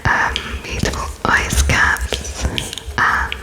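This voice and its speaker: A woman whispering in a breathy voice as the polite, hesitant interviewer in an ASMR roleplay. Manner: hesitant, halting, pausing between words as if writing notes, politely apologetic. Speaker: host playing an interviewer of an unheard alien.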